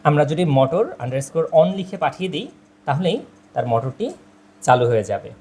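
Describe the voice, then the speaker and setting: A man's voice: fairly high pitch, expressive, moderate pace, darkish tone. A man narrating a product demonstration, explaining step by step and addressing viewers directly. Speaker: product presenter. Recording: clear background noise, ambient sound.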